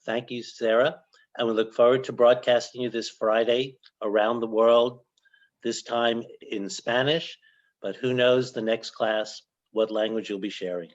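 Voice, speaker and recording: An older man hosting a conversational interview in a measured, halting way with pauses. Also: medium pitch, fairly even intonation, muffled recording. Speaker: host, yoga organization director.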